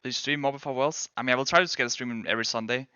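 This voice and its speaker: Young Danish man, bright and clear. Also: medium pitch, rapid-fire, no echo, highs cut off. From Denmark. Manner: rambling, hedging, self-correcting. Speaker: game streamer and pro player. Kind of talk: casual chat answering viewers.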